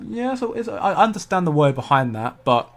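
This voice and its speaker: A man's voice: sits high, big pitch swings, brisk. A man, hosting a casual crypto livestream and answering viewer comments in a chatty, self-correcting way. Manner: chatty, self-correcting, laughs easily at chat.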